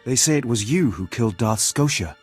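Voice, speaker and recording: A man playing a courteous, flattering Sith bodyguard in a video game, with a formal, smooth-talking manner. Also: mid-range voice, brisk, faint music behind, close to an everyday voice. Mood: admiring, flirtatious. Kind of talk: scripted video game dialogue.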